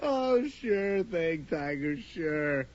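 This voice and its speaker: A man's voice: on the high side, animated, drawn-out words. A young actor playing a cocky, teasing male college roommate in a radio drama. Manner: joking, teasing, brash. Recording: dull old radio broadcast.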